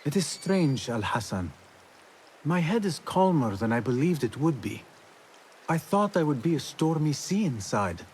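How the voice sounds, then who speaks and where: An actor playing a calm, earnest young male novice assassin in a video game cutscene, speaking in a measured way. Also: fairly high pitch, slight background noise.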